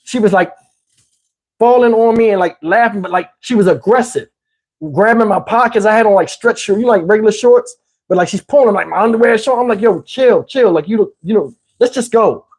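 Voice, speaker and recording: Husky-voiced American man telling stories. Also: sits high, a little fast, a little mellow. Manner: slangy, acts out dialogue. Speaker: livestream host telling personal stories. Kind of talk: casual animated storytelling.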